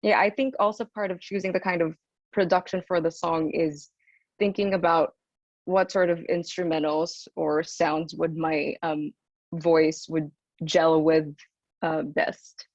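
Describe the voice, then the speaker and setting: Woman, deep low alto, soft and hesitant, dull dry video-call sound. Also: fairly even intonation, a little slow, no echo. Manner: soft-spoken, hesitant, many "um"s, laughs often. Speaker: singer, interview guest. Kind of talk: casual interview over video call.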